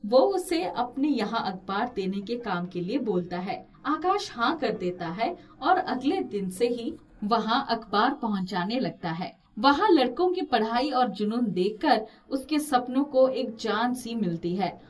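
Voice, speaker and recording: Female storyteller, high-pitched, scripted narration of moral tales. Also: big pitch swings, unhurried, few pauses, distant mic.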